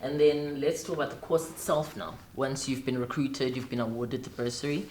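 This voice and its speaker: A woman's voice: rumbling low, fairly even intonation, a little fast, a little mellow. A woman hosting an interview in a conversational style, recorded in a roomy space away from the microphone. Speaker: interview host. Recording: roomy, distant mic, faint ambient noise.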